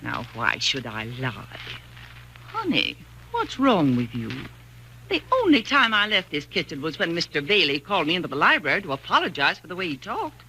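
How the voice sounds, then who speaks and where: Blunt housekeeper, wildly swooping voice. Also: female voice, fairly low pitch, wildly swooping pitch, normal speed, sharp tone, full character voice. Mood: indignant, puzzled. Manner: folksy, blunt, emphatic. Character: plain-spoken, indignant woman cook and confidante. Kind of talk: 1940s radio thriller acting. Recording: noisy vintage 1940s radio recording.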